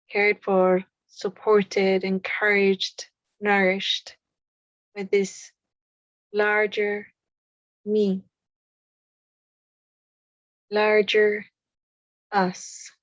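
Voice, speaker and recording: A woman leading a guided meditation in a soothing, unhurried way, with pauses between short phrases. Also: mid-range voice, no echo, highs cut off.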